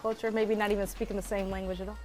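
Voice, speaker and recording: A woman's voice: medium pitch, little pitch movement, racing, a little mellow, soft background music. A woman hosting a panel talk show in an upbeat, teasing way, introducing guests and setting up segments.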